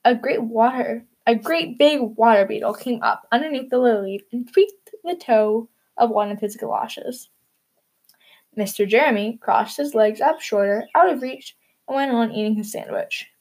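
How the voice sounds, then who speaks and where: A woman's voice: on the high side, moderate pace, darkish tone. A woman hosting and narrating a storybook for children.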